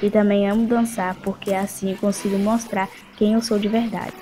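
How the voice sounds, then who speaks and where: A woman's voice: mid-range voice, flat delivery, normal speed, natural voice. A woman playing a sociable twenty-one-year-old reality show contestant. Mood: friendly. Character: sociable young female reality contestant who loves dancing. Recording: music behind the voice.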